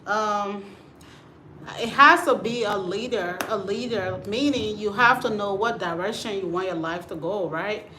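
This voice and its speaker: A woman's voice: medium pitch, a little slow, roomy sound, noisy background. A woman from Liberia, hosting a casual livestream chat and answering viewer comments. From Liberia, West Africa. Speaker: livestream host. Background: storm and rain outside.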